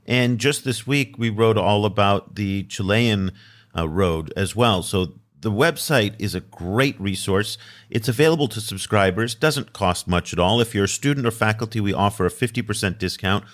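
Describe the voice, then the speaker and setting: A man hosting a podcast, casually and enthusiastically pitching the show's subscription to listeners. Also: expressive, moderate pace. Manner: enthusiastic, conversational sales pitch. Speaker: podcast host.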